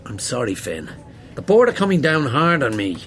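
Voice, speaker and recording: An Irish actor playing a pressured newspaper boss in a radio play, blunt, firm and emphatic. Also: male voice, medium pitch, sing-song, normal speed, fairly bright tone. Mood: firm, regretful. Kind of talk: naturalistic radio drama acting.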